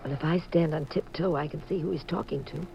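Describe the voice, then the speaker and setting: An actress playing a playful, affectionate detective's wife in a 1950s radio drama, coy and teasing. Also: female voice, deep voice, a little fast, gravelly, background noise. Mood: curious. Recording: dull 1950s radio recording.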